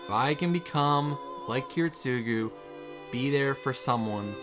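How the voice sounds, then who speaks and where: Man voicing an earnest young male apprentice mage, quiet solemn inner monologue in visual novel style, music behind. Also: mid-range voice, lively intonation, lightly acted. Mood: solemn, determined. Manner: hushed, solemn, measured narration. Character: earnest, determined young male student of magic. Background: background music.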